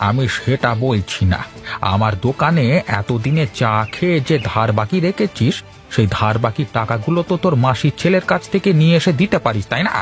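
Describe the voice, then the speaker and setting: A man voicing an older village tea-stall owner in a cartoon, gruff, curt and mocking. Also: medium pitch, expressive, a little fast, faint music behind, natural voice. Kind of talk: Bengali cartoon dialogue dubbing.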